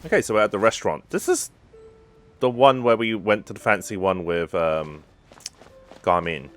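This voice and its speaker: Low-voiced male game streamer. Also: animated, normal speed. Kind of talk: casual playthrough commentary. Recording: game music behind the voice.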